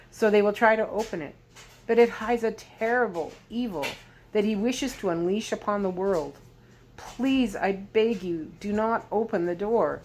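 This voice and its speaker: A woman's voice: mid-range voice, close to an everyday voice, rough recording. Storybook role: aged sorcerer man, performed by a woman, intense, imploring delivery, slight background noise. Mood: desperate, pleading. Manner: earnest, pleading, dramatic. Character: frightened old Chaldean sorcerer, desperate, pleading, emotional man.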